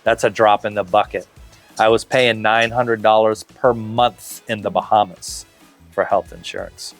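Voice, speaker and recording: Man in his fifties, low voice, relaxed, talkative interview guest on a patio, light music underneath. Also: unhurried. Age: 50s. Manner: relaxed, talkative, lots of fillers and restarts. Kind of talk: casual interview. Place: outdoor covered patio, tropical garden.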